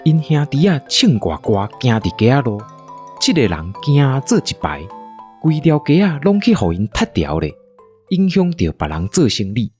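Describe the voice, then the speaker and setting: A woman's voice: rumbling low, sing-song, normal speed, a little mellow, soft background music. A woman with a Taiwanese accent hosting and narrating a playful scripted story. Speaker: podcast host and storyteller.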